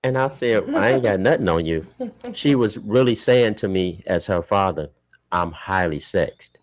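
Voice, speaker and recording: A man's voice: low-pitched, normal speed. A middle-aged man hosting a talk radio show from a small studio, blunt and joking as he argues his point. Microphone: studio boom mic with headphones.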